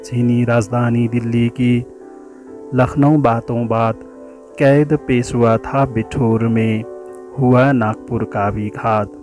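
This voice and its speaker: A man reciting a poem in a measured, declamatory way, drawing out the ends of lines. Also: mid-range voice, steady tone, a little mellow, soft background music. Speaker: poetry reciter. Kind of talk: dramatic Hindi poem recitation.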